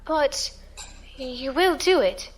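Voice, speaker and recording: A woman's voice: high-pitched, expressive, slow. An actress playing an earnest, tender-hearted female passenger among space pirates in a science fiction audio drama. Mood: earnest, determined.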